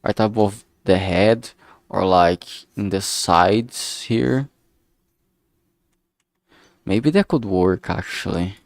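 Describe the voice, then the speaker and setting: A man's voice: low-pitched, slow pace, pauses often, dry recording, clean studio sound. A man thinking aloud casually as he works, speaking hesitantly and often trailing off mid-thought. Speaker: streamer making a visual novel.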